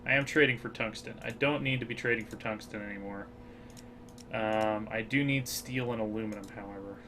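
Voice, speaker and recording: A man's voice: on the low side, a little fast, sharp tone, slight background noise. American man thinking aloud over a game. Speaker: gaming channel host. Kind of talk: casual strategy game commentary.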